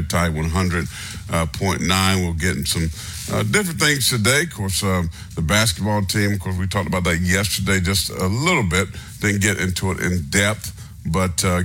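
A middle-aged man hosting a sports radio show, relaxed and rambling, a little nasal from congestion. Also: low-pitched. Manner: relaxed, rambling, many fillers. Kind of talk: casual radio talk.

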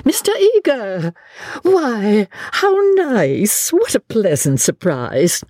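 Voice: medium pitch, big pitch swings, unhurried, darkish tone, breathy edge, no echo, clean studio sound, pitched above the performer's own voice, exaggerated voice. A reader voicing an older spinster chaperone in an audiobook story, prim, fussy and earnestly admiring. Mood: pleased.

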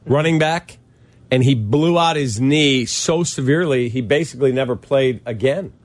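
A man's voice: mid-range voice, normal speed, no room sound. A man co-hosting a sports radio show, dropping short, dry remarks in casual banter.

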